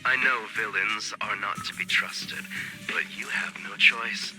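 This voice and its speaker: A man's voice: fairly low pitch, steady tone, measured pace, music in the background, lightly acted, poor audio. An actor voicing a grandiose, menacing male cult orator in a video game, preaching with pompous, theatrical flourish. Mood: menacing politeness. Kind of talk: dramatic video game villain acting.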